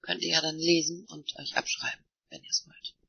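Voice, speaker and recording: A man moderating an online voice chat, reading participants' messages aloud in a plain, unhurried way. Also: a little high, flat delivery, creaky, slightly rough, no echo. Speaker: meeting moderator. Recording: dull, muffled online voice chat.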